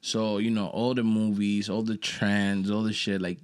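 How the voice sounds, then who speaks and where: A man's voice: flat delivery, moderate pace. Laid-back young man. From Dominican Republic. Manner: laid-back, swears freely, jumps between low mutters and loud laughing bursts. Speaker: podcast co-host. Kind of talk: casual chat.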